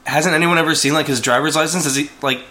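A man's voice: a little high, steady tone, fast, sharp tone. A man co-hosting a casual movie chat, rambling with lots of fillers and restarts. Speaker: podcast co-host.

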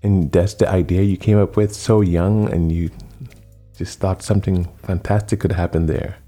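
A man hosting a talk show interview, speaking slowly and emphatically and repeating his phrases for effect. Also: low-pitched, fairly even intonation, warm tone, faint music behind. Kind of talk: radio-style interview.

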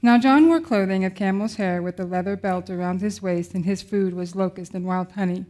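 A woman's voice: mid-range voice, brisk, warm tone. A woman reading Bible verses aloud as scripted narration. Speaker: scripture reader.

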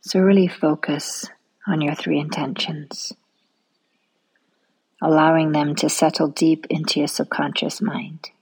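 A woman leading a guided relaxation meditation in a soft, soothing manner, often trailing off into a near-whisper. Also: low voice, little pitch movement, measured pace, slightly rough, rough recording.

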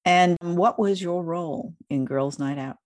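A woman's voice: lowish voice, measured pace, darkish tone. A woman hosting a podcast, interviewing a guest in a conversational style.

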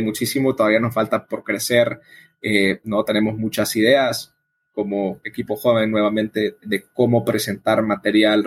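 Husky-voiced man explaining conversationally. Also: on the low side. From El Salvador. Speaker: interview guest, coffee event organizer. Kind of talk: conversational interview answers.

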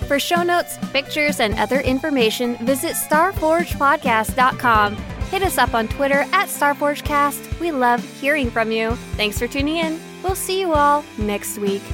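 High-voiced woman podcaster, animated and jokey. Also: normal speed, over music. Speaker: podcast co-host. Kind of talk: casual, silly banter about an online game.

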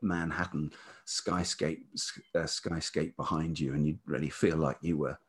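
A middle-aged man from the UK, a writer and producer, telling stories in a relaxed interview, halting with many ums and restarts. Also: extremely low pitch, slightly rough. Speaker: podcast guest, writer and producer.

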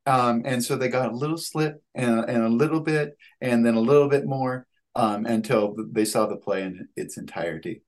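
A man in his thirties answering interview questions on a video call, with halting phrasing and repeated words. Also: medium pitch. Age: 30s. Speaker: guest, playwright and actor.

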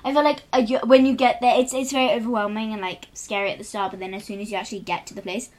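A woman's voice: fairly high pitch, fairly even intonation, fast talker, darkish tone. A teenage girl co-hosting a casual chat, upbeat and encouraging with lots of fillers. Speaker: co-host.

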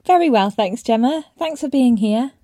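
Female podcast host, soft mellow tone, dry close sound, faint music. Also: fairly high pitch, animated, moderate pace, no echo. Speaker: podcast host, English teacher. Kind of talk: podcast interview with scripted intro.

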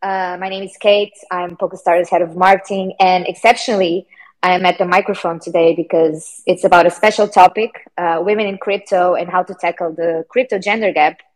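A woman's voice: on the low side, low-quality recording. A woman filling in as host of an informal community session, friendly and slightly hesitant, with many ums. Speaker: stand-in host, marketing head. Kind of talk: informal live session hosting.

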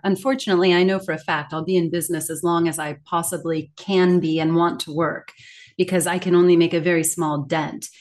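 Middle-aged female interview guest, somewhat low-pitched, articulate, reflective and heartfelt in emphasis. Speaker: guest, communication coach and author.

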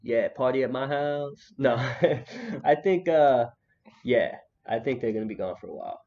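A man's voice: mid-range voice, a little slow. Young man, podcast host, laid-back joking chat, muffled lo-fi audio. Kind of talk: casual chat.

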